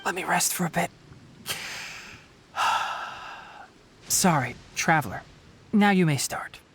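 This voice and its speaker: A wounded young healer, slow, weary. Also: male voice, lively intonation, a bit bright, husky, heavily acted. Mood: weary, stubborn. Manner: measured, weary, understated. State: pained and tired from a wound. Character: young male forest healer, wounded, proud, dryly stubborn. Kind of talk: video game voice acting. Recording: game ambience with low rumble.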